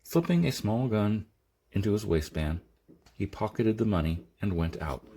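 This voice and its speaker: Male audiobook narrator for science fiction, husky and animated in pitch, slightly halting read. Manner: halting, stumbles and rereads phrases. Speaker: audiobook reader.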